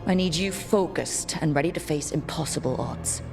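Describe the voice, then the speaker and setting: Game hero lady in charge, deep for a woman, steady n determined, bit of music under it. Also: normal speed, creaky. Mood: resolute. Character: determined woman leader of a band of heroes, steady and blunt. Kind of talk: video game cutscene acting. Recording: music behind the voice.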